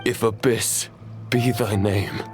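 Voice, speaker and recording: A man's voice: on the low side, steady tone, takes it slowly. A man voicing a solemn, righteous fallen knight in a video game, speaking in grave, archaic oratory. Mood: righteous anger. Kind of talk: dramatic video game voice acting. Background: background music.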